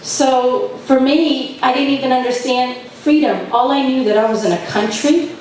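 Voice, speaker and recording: A woman's voice: high voice, normal speed, a little mellow. A woman from Afghanistan chairing a political convention, firm and insistent as she keeps order and gives a speech. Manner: firm, repeats thank-yous to restore order. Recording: echoey hall, distant mic, background noise.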